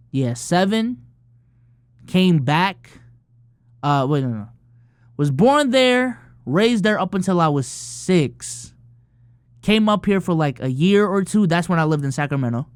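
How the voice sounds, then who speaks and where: A young man in his early twenties, originally from the Philippines, rambles through viewer questions on his stream. His voice sits a little high for a man, and he keeps dropping casual swears and tags like "bro" and "man". The sound is close and dry, with no room around it. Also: clear, resonant tone, dry recording. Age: early 20s. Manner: loose and profane, full of fillers and "bro" and "man". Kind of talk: casual livestream chat answering viewer questions.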